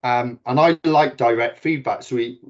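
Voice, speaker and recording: A man's voice: medium pitch, normal speed. A man in his forties with a Welsh accent, giving a chatty, self-deprecating talk as a guest speaker on a video call. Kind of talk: webinar talk. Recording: dull lo-fi video call audio.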